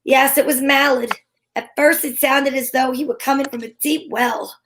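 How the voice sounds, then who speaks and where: A woman's voice: high-pitched, steady tone, moderate pace, vocal fry, background noise. A woman playing a plucky, wisecracking female private eye in an old-time radio drama, telling her story dramatically. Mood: dramatic, suspenseful. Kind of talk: old-time radio detective acting, first-person narration.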